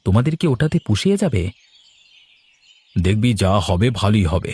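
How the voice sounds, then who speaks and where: A man's voice: lowish voice, very animated, moderate pace, a little mellow, husky, dry recording. A man playing a hardworking, practical village fishmonger in a cartoon, hopeful about his family trade. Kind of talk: Bengali cartoon dialogue.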